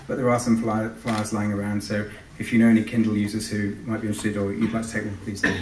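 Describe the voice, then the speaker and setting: A man's voice: mid-range voice, a little hiss or hum. British guy doing an author reading, medium voice, roomy and far from the mic. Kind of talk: live literary reading. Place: live event in a hall. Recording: echoey hall, distant microphone.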